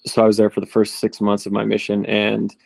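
Gravelly low-voiced man, flat delivery. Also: fairly quick, no echo. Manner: relaxed, rambling with fillers and restarts. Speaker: guest, returned missionary. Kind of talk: conversational interview storytelling.

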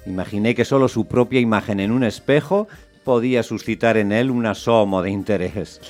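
An actor playing a reflective, observant storyteller, narrating a literary radio drama in a dry way. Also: male voice, lowish voice, normal speed, a little mellow, soft background music, close to an everyday voice. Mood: wry, reflective.

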